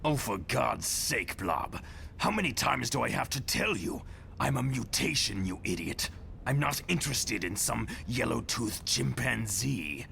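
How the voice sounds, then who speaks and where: A man's voice: mid-range voice, lively intonation, a little slow, vocal fry, pushed and bright, a little hiss or hum, exaggerated voice. A man voicing a weary, snobbish ape mutant villain with a dry, haughty manner in a motion comic. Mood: exasperated. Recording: music under the voice.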